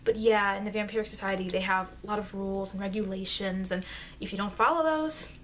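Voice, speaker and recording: A woman playing a chatty, informal immortal vampire teacher in an ASMR roleplay, rambling hesitantly and confidingly, with soft dips into whispering. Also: mid-range voice, brisk, close to an everyday voice. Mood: chatty, earnest. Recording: noisy amateur microphone.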